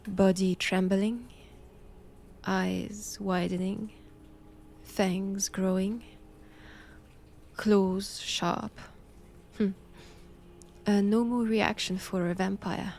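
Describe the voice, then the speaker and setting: A woman with a French accent playing a cold, haughty nun in an intimate roleplay audio drama, speaking deliberately and often dropping toward a whisper. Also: mid-range voice, creaky, a little mellow, a little hiss or hum. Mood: fascinated, probing. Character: cold, imperious nun examining a captive vampire.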